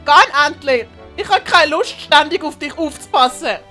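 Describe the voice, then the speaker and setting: A woman's voice: high voice, lively intonation, moderate pace, pushed and bright, soft background music, pitched above the performer's own voice. A woman voicing a naive, sulky young boy in a children's audiobook. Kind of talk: dramatised German-language children's audiobook.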